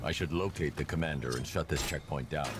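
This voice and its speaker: An actor voicing a grim, terse caped vigilante in a video game, with a deep, gruff voice and clipped, mission-focused lines. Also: male voice, quick pace. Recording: distant game audio under loud noise.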